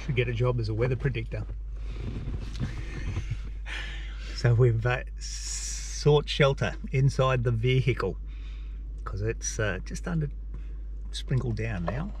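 A man's voice: mid-range voice, slow pace, long pauses, darkish tone, a little hiss or hum. A man in his fifties with an Australian accent, chatting casually to his camera while on a road trip. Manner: easygoing, chatty, self-mocking. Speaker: travel vlogger. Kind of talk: casual travel vlog narration. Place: outdoors on a beach and inside a car. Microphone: action camera held at arm's length.

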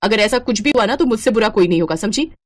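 A woman's voice: a little high, expressive, fast talker. Woman playing a haughty rich mistress, bossy. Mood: scornful. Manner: bossy, scornful.

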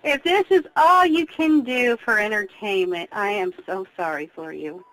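A woman's voice: medium pitch, normal speed, a bit bright. A woman working as a shop clerk, talking on a telephone call. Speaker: shop clerk answering phone. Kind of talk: customer service phone talk. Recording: telephone call with faint noise.

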